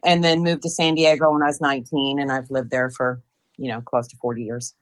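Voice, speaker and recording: A woman's voice: very deep voice, little pitch movement, fairly quick, rough recording. A woman in her fifties answering interview questions, thoughtful and hesitant with many fillers. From United States. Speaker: interview guest.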